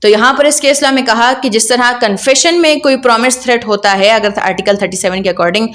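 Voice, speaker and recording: A woman's voice: on the high side, a little fast, a bit bright. A woman with a Pakistani accent giving an explanatory law lecture, didactic, often posing questions and answering them herself. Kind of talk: explanatory law lecture mixing Urdu and English.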